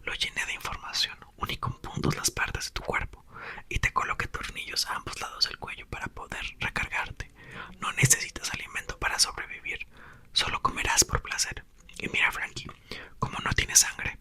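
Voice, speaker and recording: A man whispering a patient inventor father. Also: normal speed. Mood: explaining. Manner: soft, whispered. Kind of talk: whispered ASMR reading.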